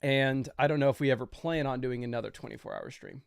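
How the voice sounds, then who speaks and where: A man's voice: mid-range voice, quick pace, gravelly, clean tone, no room sound, clean studio sound. A young man hosting a fantasy football livestream, talking loosely and casually with lots of fillers as he explains his picks.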